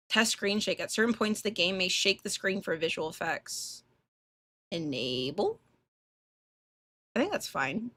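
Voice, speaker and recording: A woman hosting a casual game livestream, chatting bluntly and irreverently and reading on-screen text aloud in an animated way. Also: mid-range voice, takes it slowly, pauses often, no room sound. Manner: foul-mouthed, irreverent, blunt. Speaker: live streamer hosting a visual novel playthrough.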